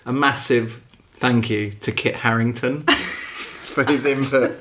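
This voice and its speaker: A man co-hosting a podcast in casual, excitable, jokey banter. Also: mid-range voice, takes it slowly. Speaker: podcast co-host. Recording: faint background noise with clicks.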